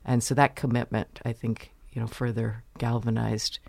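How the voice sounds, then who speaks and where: Woman guest, from the US, measured and explanatory, close dry mic. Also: rumbling low, little pitch movement, creaky, a little mellow, dry recording. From United States. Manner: measured, explanatory, pauses to choose words. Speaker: university vice president, interview guest. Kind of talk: interview answers.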